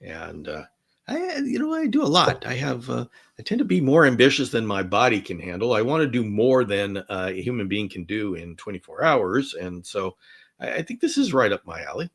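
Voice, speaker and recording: A man hosting a live question-and-answer stream, talking enthusiastically and rambling with many restarts, his voice going hoarse. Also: fairly low pitch, big pitch swings, moderate pace, fairly bright tone. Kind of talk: live Q&A chat.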